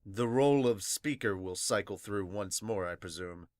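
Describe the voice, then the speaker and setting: A man's voice: on the low side, normal speed, a little mellow, no room sound, clean studio sound, voice pushed down, lightly acted. A man voicing a pompous royal delegate, speaking formally and statelily in an amateur manga dub. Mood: stately.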